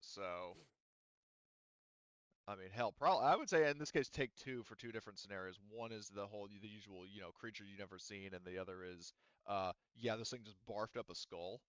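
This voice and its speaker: A man's voice: lowish voice, moderate pace, clear, resonant tone, no echo, dull audio. A man running a tabletop roleplaying game as game master, casual and rambling with many fillers. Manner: rambling, lots of fillers and restarts.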